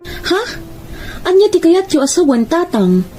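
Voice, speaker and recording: An actress with an Ilocano Filipino accent playing a kind, dutiful young elder sister in a radio drama. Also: female voice, very high-pitched, very animated, a little slow, warm tone, faint music behind, exaggerated voice. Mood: respectful, earnest.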